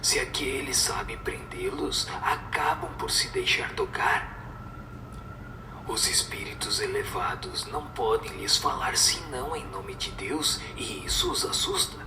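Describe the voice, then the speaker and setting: A man playing a solemn spirit teacher in a radio drama, speaking in a measured, didactic and breathy whispered voice. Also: fairly low pitch, wildly swooping pitch, background noise, heavily acted. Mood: solemn instructive. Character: solemn male spirit teacher giving moral answers. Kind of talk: scripted radio drama recitation. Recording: echoing voice over music.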